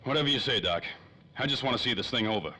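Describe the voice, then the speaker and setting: A man's voice: medium pitch, expressive, quick pace, pushed and bright. An actor playing a wary hard-boiled detective in old-time radio style, with clipped, wisecracking tough-guy talk. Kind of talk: 1930s old-time radio drama acting.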